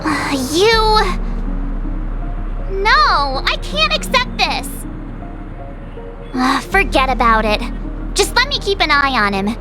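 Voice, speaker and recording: High, lively girl. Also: slow, long pauses, exaggerated voice. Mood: worried. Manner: chatty, blunt, emotional. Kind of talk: video game voice acting. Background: music.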